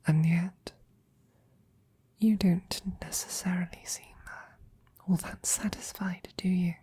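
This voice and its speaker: A woman voicing a devoted, gentle female knight in an intimate ASMR roleplay, soft, breathy and tender, with hesitant pauses. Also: fairly low pitch, fairly even intonation, full character voice. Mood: soothing, intimate.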